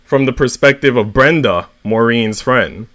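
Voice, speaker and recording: Man reading stories aloud, expressive. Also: mid-range voice, unhurried. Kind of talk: expressive story read-aloud.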